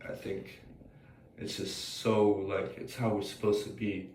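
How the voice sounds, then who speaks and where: A man's voice: lowish voice, little pitch movement, unhurried. A young American man with a slightly scratchy voice, rambling hesitantly as he thinks aloud in a casual, unscripted personal vlog. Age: young adult. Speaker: vlogger. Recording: roomy, distant mic, faint noise.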